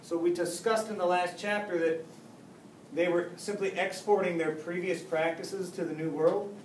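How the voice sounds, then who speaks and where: A man's voice: high voice, fairly even intonation, moderate pace. A male history teacher lecturing casually, joking with his class and restarting often. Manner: joking, chatty, with many restarts. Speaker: high school history teacher. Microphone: camera far across the room. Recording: echoey classroom, faint hum, mic far off.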